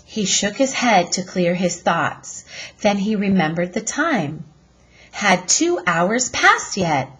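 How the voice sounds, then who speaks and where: Female read-aloud teacher, unhurried and slightly rough-voiced, telling a chapter book to children with expression. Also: mid-range voice. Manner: deliberate, expressive storytelling.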